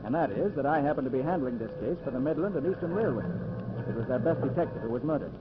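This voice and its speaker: An actor playing a confident, unflappable master detective in a 1940s radio drama, crisp and patient in manner. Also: male voice, medium pitch, animated, fast talker, lightly acted. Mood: calm, assured. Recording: muffled noisy old radio recording.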